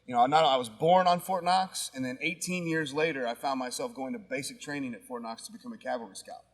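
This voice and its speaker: A man in his thirties from Kentucky, a wrestler interviewed between matches. He talks quickly and earnestly, rambling a little as he goes. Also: medium pitch. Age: 30s. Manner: rambling, earnest, a bit hesitant. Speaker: professional wrestler. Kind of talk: casual interview.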